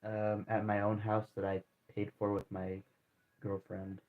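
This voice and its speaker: A man's voice: fairly low pitch, completely flat delivery, unhurried. A man in his twenties chatting casually to viewers while streaming a game, rambling and laid-back with a lot of slang and restarts. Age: 20s. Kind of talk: casual live-stream chat while playing. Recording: tinny phone-like audio.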